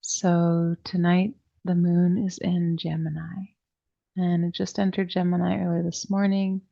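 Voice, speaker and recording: A woman teaching a guided meditation class, speaking in a soothing, deliberate way with long pauses and a soft-edged, creaky voice. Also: on the low side, little pitch movement, lo-fi sound. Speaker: yoga nidra meditation teacher.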